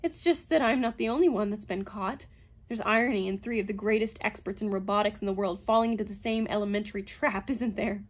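A woman's voice: medium pitch, a little fast, close to an everyday voice. A woman voicing a sardonic, bitter female robot psychologist with a cutting, imperious manner, in a story reading. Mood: brittle, ironic.

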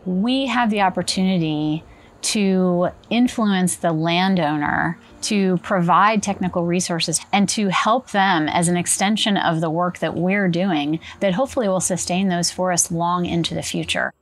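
A woman, a company spokesperson, speaking in a measured, earnest way in an interview. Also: lowish voice. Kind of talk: corporate interview.

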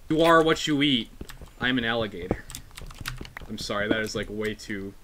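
A man's voice: fairly low pitch, expressive, normal speed, background noise. A young man playing a game with friends, joking and swearing in casual chat. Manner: joking, crude, playful. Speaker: gameplay recorder.

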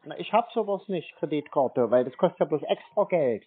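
A man's voice: a little high, animated, fairly quick, breathy edge. A man in a casual phone conversation as a customer, insistent and repetitive in a naive-sounding way. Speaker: prank caller posing as customer. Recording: tinny narrow phone line.

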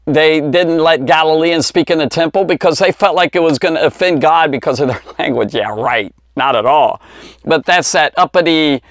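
Higher-voiced American man teaching casually. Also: moderate pace, dry recording, studio quality. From Cincinnati, United States. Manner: rambling, conversational, with false starts and self-corrections. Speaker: Bible teacher. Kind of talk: informal Bible lesson for kids. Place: small indoor study lined with bookshelves. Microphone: lapel mic clipped at the collar.

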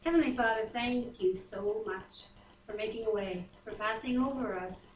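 A middle-aged woman, chatty and quick to laugh, co-hosting a home Bible reading and praying. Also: on the high side. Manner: chatty, laughs easily, self-deprecating. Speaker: co-host leading prayer and Bible reading. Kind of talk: casual chat, prayer and scripture reading. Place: wood-paneled home dining room, indoors. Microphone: far camera. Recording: roomy, distant home video.

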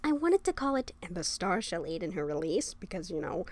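Man putting on an earnest, high-voiced girl pony. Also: big pitch swings, a little fast. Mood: shy, earnest. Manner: earnest, bookish, easily flustered. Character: nervous, eager young female pony writer, bookish. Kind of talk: dramatic fan fiction reading.

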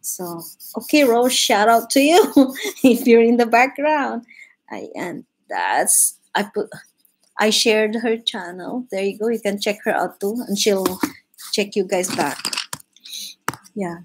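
A woman's voice: mid-range voice, animated, slow, slightly rough, low-quality recording. A young Filipino woman with a Filipino accent hosting a live drawing stream from her living room, chatting loosely in English and Tagalog, reading chat messages aloud and laughing often. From Philippines. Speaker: livestream host. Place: home living room, indoors.

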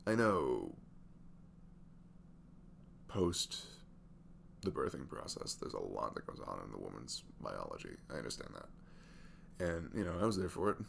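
A man plays a weary, sweet young single dad opening up in an intimate ASMR roleplay. His very deep voice crackles with gravel and moves up and down expressively as he hesitates and trails off. A faint hum sits behind the soft-spoken delivery. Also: heavily acted. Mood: hesitant, hurt.

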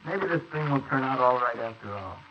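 A man's voice: medium pitch, animated, fairly quick, close to an everyday voice. An actor playing a nervous, guilty young man mixed up in murder, in a 1940s radio drama style on an old recording. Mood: calmer, reassured. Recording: noisy muffled 1948 wire recording of transcription discs.

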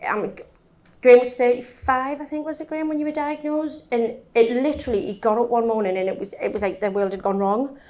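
A woman's voice: on the high side, fairly quick, a little mellow. Woman telling a support group's story. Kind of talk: panel discussion talk. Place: conference room with audience. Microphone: handheld mic.

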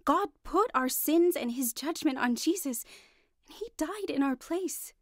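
A woman's voice: sits high, animated, unhurried, no room sound, studio quality. An actress playing an earnest, devout wife in a radio drama, acting and narrating her heartfelt testimony. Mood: earnest, eager.

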